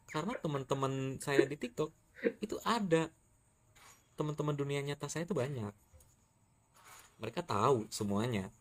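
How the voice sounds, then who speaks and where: A man from West Sumatra firmly scolding and challenging a critic in a heated monologue. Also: mid-range voice. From West Sumatra, Indonesia. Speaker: TikTok creator answering a critic.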